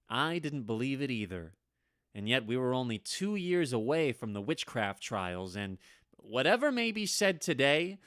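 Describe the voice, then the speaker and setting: Radio play lead, male actor: ageless wry storyteller, easily flustered, bright mid-pitched voice with lively swings. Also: dry recording, clean studio sound. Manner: expressive, dramatic, wry storytelling. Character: centuries-old Salem man, wry storyteller, easily flustered. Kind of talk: vintage radio drama narration and acting.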